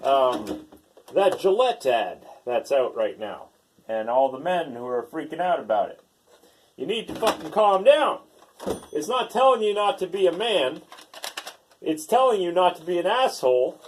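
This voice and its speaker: A man's voice: on the high side, very animated, measured pace, slight background noise. A man casually explaining a how-to project in a blunt, folksy, sweary manner. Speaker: DIY video host.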